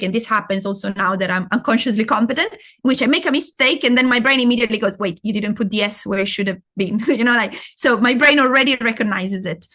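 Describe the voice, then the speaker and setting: Italian lady presenting a masterclass, a bit high-pitched, walks through each step. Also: a bit bright. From Italy. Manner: explains step by step, asks and answers her own questions. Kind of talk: interactive talk on learning.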